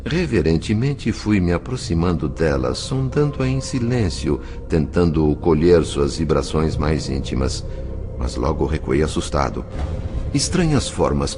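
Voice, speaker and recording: A man plays a compassionate narrator in a dramatized audiobook. His low voice moves expressively up and down in measured storytelling, with faint music behind. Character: compassionate spirit chronicler narrating what he witnessed. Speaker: narrator and character.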